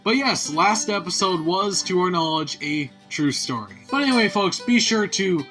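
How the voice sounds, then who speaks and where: A man's voice: high-pitched, lively intonation, moderate pace, pushed and bright. A man hosting an online video series with casual, folksy and emphatic commentary addressed straight to viewers, over guitar and harmonica music. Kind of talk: casual scripted-then-improvised YouTube commentary.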